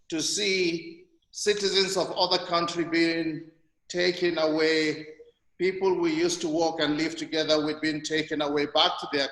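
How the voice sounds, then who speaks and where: A man taking part in an online webinar, offering comments and questions in measured phrases with pauses. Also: on the high side, fairly bright tone. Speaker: webinar participant asking questions. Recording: echoey hall-like room over online call.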